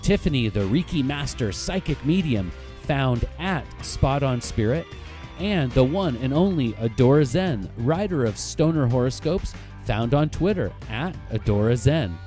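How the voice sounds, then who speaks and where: A man's voice: mid-range voice, expressive, a little slow, mellow. A man hosting a podcast, delivering a casual promotional announcement with guitar music behind him. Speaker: podcast host.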